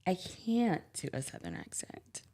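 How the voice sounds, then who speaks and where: A woman with a light New Jersey accent co-hosting a podcast. She chats in a playful, animated way and laughs often. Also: on the low side, unhurried. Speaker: podcast co-host. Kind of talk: casual chat.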